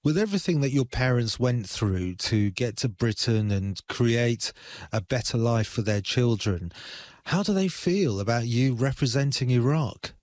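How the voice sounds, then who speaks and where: A man asking measured questions as a broadcast interviewer. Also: medium pitch, expressive, darkish tone, dry recording, clean studio sound.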